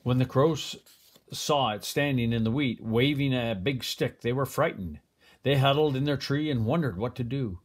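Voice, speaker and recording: An older man reads a children's picture book aloud as a grandfather would, with expressive, deliberate phrasing. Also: mid-range voice, slightly rough. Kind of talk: storybook read-aloud.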